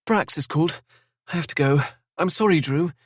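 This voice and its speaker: A man doing a high, gushing young woman. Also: moderate pace, breathy edge, dry recording, clean studio sound. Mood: remorseful. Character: young woman G, impulsive, gushing, easily tearful.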